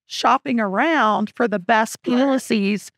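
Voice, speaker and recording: A woman's voice: fairly high pitch, a little slow, fairly bright tone, no echo. A woman co-hosting a podcast sums up the guests' points in casual, affirming talk at a studio mic. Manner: affirming, summarizing. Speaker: podcast co-host.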